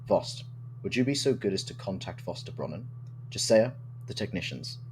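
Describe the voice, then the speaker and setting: A man's voice: sits low, moderate pace. A man playing a cautious, courteous councillor in an audio drama, speaking formally and expressively. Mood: measured. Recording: noisy recording with steady hum.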